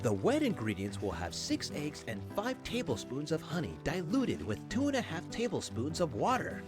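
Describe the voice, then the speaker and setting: A man's voice: a little high, huge pitch swings, moderate pace. A man voicing an enthusiastic young student instructor giving directions in a visual novel game. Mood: enthusiastic, instructive. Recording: music playing behind the voice.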